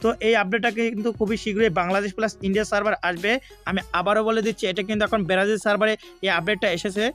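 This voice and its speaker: High-pitched, fast-talking Bangladeshi man, even delivery. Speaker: gaming YouTuber. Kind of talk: tutorial narration. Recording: faint background music. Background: music.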